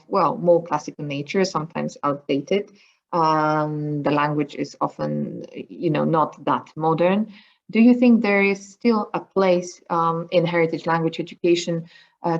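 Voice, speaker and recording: Woman moderator, very low voice, gravelly, hesitant with fillers, dull video call audio. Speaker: conference moderator. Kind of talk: online conference Q&A.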